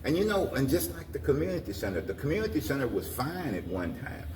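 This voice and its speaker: A man's voice: medium pitch, sing-song, darkish tone. Older man, echoey distant recording. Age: 60s or older. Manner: halting, repeats and restarts phrases, folksy. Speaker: interview guest, community leader. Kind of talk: informal talk-show interview. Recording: roomy echo, distant mic, faint noise.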